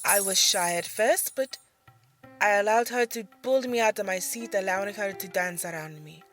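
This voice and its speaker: A woman playing an earnest, wistful young belly dancer recounting her life in a fantasy audio drama, speaking in a measured way. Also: mid-range voice, fairly bright tone, faint music behind, close to an everyday voice. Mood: wistful, reminiscing.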